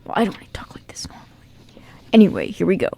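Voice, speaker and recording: A woman with a medium-pitched voice presents as a radio host. She reads scripted introductions and slips easily into casual chat. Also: wildly swooping pitch, quick pace, vocal fry, in a whisper.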